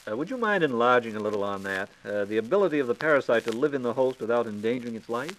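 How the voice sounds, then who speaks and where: A man's voice: medium pitch, lively intonation, racing, fairly bright tone, a little hiss or hum, low-quality recording. An American man co-hosting a scripted 1940s radio program, putting questions in a conversational, prompting way. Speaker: radio co-host interviewer. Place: radio studio. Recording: 1940s radio transcription disc.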